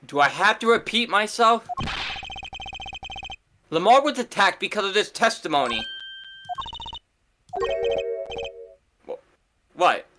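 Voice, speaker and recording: A man's voice: sits high, very animated, moderate pace, background noise, voice pushed up. A man voicing an earnest, easily flustered young male defense attorney in a video game read-through, with music behind him.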